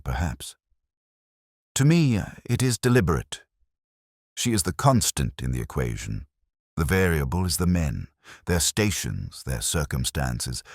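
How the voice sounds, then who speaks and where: A man voicing a cool, precise, commanding detective in a measured, deliberate audiobook performance. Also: a bass voice, no room sound, clean studio sound, exaggerated voice.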